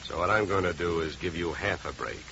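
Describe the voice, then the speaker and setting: An American actor playing a tough, wry private investigator in a 1950s radio drama, with a dry, controlled, sardonic delivery. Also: male voice, low voice, a little fast, creaky, pushed and bright, exaggerated voice. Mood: shrewd, firm. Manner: hard-boiled, dry, controlled. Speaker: lead actor and first-person narrator. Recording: muffled, noisy 1950 radio recording.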